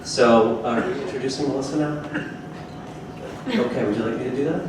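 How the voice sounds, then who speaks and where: A man giving a brisk, friendly on-stage introduction of his company and the evening's speaker. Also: medium pitch. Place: large open office event space with audience. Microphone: handheld mic heard from a distant camera. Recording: echoey room from far off with noise.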